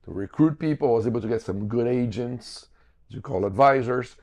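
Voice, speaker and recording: Gravelly-voiced man from Brazil. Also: medium pitch, fairly quick, darkish tone. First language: Portuguese. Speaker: podcast guest, financial business owner. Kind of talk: explanatory interview talk.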